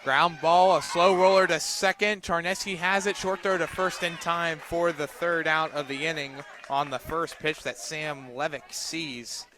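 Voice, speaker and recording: A man doing live radio play-by-play of a softball game as the announcer. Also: fairly high pitch, fairly even intonation, unhurried, few pauses. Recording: noisy outdoor broadcast with crowd behind. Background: crowd and outdoor ambience.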